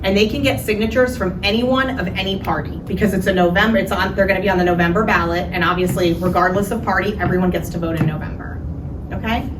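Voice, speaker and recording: A woman answers audience questions about how elections run, explaining step by step and checking in with "okay?". Her voice sits a little low and is picked up from a distance in a roomy meeting hall. A steady background hiss runs under her speech. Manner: explanatory, quick, with frequent "so" and "okay?". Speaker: county elections director. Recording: distant roomy mic with noise.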